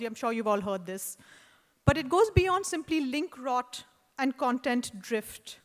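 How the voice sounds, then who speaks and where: A woman with an Indian accent delivers a prepared lecture at a fairly high pitch. She reads in a measured, deliberate way and breaks off for wry asides and hesitant fillers. Her voice rings through a hall with a long reverb tail. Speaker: artist giving a lecture. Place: lecture hall at an art event.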